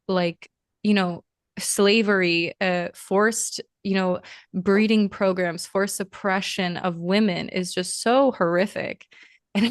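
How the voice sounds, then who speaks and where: Guest: young woman raised in Lithuania and the US, smooth voice, dry close sound, earnest, rambling, lots of "um"s. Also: medium pitch, no echo. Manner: earnest, meandering, many fillers. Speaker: podcast guest. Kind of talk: casual interview conversation.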